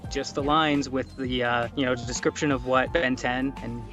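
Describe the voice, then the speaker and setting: A man speaking casually as an interview guest and voice actor, in a halting way with frequent restarts and self-corrections. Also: mid-range voice, fairly even intonation, over music.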